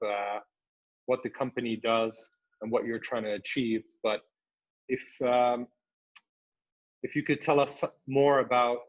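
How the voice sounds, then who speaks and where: A man's voice: medium pitch, muffled recording. A man hosting an interview, asking his questions hesitantly, with many fillers and restarts. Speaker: podcast host.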